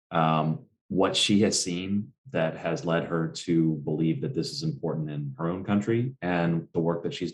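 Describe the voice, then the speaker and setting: Guy moderating a panel, super deep voice, casual and jokey. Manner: casual, joking.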